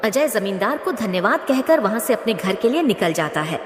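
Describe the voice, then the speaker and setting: Lively echoey female storyteller. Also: medium pitch, moderate pace. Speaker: narrator. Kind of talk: scripted cartoon story narration. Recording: echoey, long reverb.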